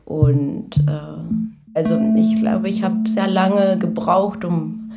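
A woman reflecting in a discussion, halting and thoughtful as she searches for words. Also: lowish voice, steady tone, creaky. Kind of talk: reflective discussion about images. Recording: faint music behind the voice. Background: faint music.

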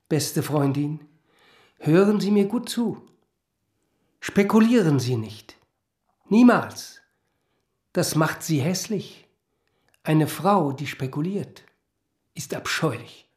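Radio drama tycoon: cold, curt, condescending, with noticeable room echo. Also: male voice, a little high, lively intonation, slow pace, pauses often, a little mellow. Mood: condescending, scornful. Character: cold, dismissive, condescending powerful male financier. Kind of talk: German radio drama acting.

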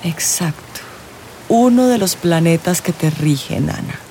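An actor playing an eccentric, probing astrologer in a radio drama, speaking in dramatic, drawn-out pronouncements. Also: medium pitch, animated, warm tone, a little hiss or hum. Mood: ominous, explaining. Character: eccentric astrologer, theatrical, teasing, probing, solemn. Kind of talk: theatrical radio drama acting. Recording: music and vehicle sounds behind. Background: music, real outdoor soundscapes.

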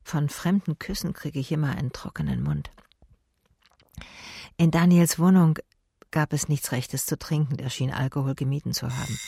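A female private investigator recounting her case for a radio play. Her voice is husky with a creaky edge, and she tells things drily, with a sardonic, observant eye. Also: low-pitched, expressive, moderate pace, mellow, full character voice. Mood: wry. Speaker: first-person narrator in a radio drama. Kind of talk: radio drama first-person narration.

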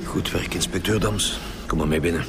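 Blunt, low-voiced Flemish detective chief. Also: male voice, moderate pace. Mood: businesslike. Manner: blunt, sarcastic, insistent. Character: blunt, pressing male chief detective interrogating suspects. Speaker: lead police investigator. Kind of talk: radio drama acting. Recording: music behind the voice.

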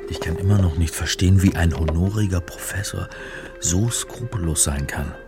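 A man's voice: deep voice, normal speed, music in the background. An actor playing a wry, exasperated male academic turned amateur sleuth in a German radio crime drama, speaking conversationally with some hesitation. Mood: puzzled, curious. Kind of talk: German radio play, narration and dialogue.

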